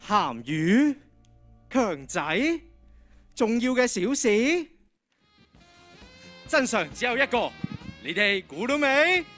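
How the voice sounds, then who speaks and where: A teenage boy playing an excitable, easily panicked schoolboy in a radio drama on stage. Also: extremely high pitch, slow pace, long pauses, faint music behind, heavily acted. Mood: puzzled, earnest. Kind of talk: Cantonese radio drama acting.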